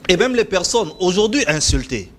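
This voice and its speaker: A man from the Democratic Republic of the Congo interviewing a guest, animated and often repeating his words. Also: sits high, normal speed. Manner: animated, stammering repetitions. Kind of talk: conversational interview.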